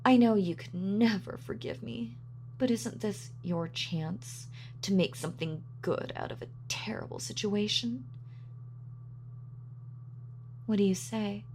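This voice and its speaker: Woman acting as evil supervillain lady, slow n sardonic, gloating audio roleplay, noisy hum behind her. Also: mid-range voice, a little mellow, lightly acted. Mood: coaxing. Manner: slow, taunting, mock-sweet, sardonic. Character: gloating female supervillain, sadistic, darkly playful. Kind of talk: villain monologue in audio roleplay.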